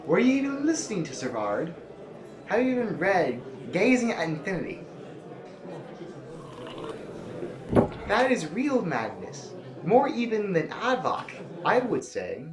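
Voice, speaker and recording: A man's voice: sits high, wildly swooping pitch, moderate pace, a crowd in the background, low-quality recording. A man playing a proud, defiant general in an audio drama, formal and pointed with a sardonic edge. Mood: accusing. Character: proud, principled, defiant male general of rebels.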